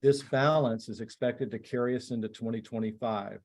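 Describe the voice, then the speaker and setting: A man, a finance chief, formally reading a scripted financial report in a measured, matter-of-fact way. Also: mid-range voice, fairly even intonation, a little mellow.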